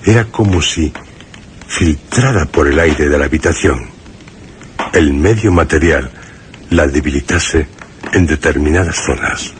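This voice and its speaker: Very deep, slow, wary narrator. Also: male voice, a little hiss or hum. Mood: grave, wary. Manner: measured, solemn, halting phrases. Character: wary man narrating his dreadful encounter with a mad friend. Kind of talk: scripted suspense radio drama narration.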